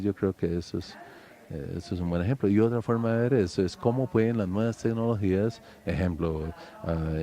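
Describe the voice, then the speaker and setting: Deep mellow guy giving a formal panel talk, lots of little pauses. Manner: measured, with frequent filler pauses. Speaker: conference panelist. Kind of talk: formal conference presentation.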